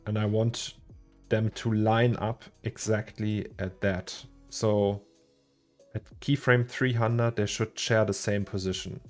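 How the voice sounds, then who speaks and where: A man with a German accent streaming his 3D work, chatting casually, thinking aloud and chuckling often. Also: lowish voice. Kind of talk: casual livestream chat while working.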